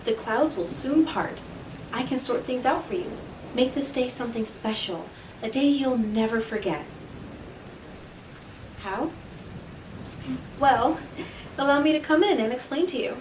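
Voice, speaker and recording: A woman's voice: a little high, expressive, reverberant, a little hiss or hum, poor audio. A woman playing an enigmatic, devilish stranger in a roleplay audio drama, speaking coyly and theatrically to the listener with teasing condescension. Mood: coyly friendly. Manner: coy, deliberate, theatrical, talking directly to the listener.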